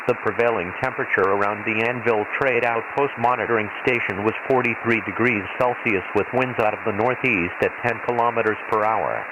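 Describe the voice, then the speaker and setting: A man playing an impersonal imperial weather announcer on a desert planet, reading a formal, measured hazard bulletin through a radio filter. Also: fairly low pitch, lo-fi sound. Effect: radio transmission filter. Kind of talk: scripted emergency weather bulletin, read like automated weather radio. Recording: hissy, noisy shortwave-style radio transmission.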